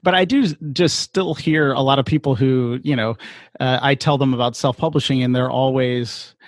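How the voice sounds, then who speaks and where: A man with an average-pitched voice, recorded close and dry. He interviews casually as a host, feeling his way through his sentences tentatively. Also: dry recording.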